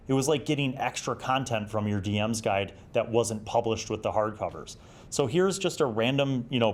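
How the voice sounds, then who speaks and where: A man hosting a retro gaming review, talking casually and thinking aloud as he goes. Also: medium pitch. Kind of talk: casual rambling review commentary.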